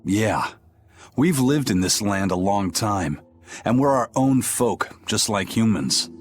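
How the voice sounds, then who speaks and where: A man playing a gruff, worldly male spirit pirate in a video game, terse and matter-of-fact. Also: on the low side, animated, slow, soft background music. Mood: grave. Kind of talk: video game cutscene acting.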